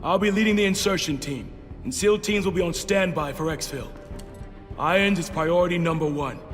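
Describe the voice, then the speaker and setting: An actor playing a determined special-forces team leader in a video game, grave and commanding, with clipped military delivery over a cinematic score. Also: male voice, fairly high pitch, normal speed, soft background music, natural voice.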